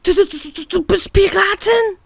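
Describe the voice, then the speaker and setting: A woman voicing an excitable, anxious little sailor boy who stammers in agitation in an audio story. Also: very high-pitched, normal speed, creaky, no room sound, pitched above the performer's own voice. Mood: panicked. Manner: stammering, agitated, breathless whispering and scolding.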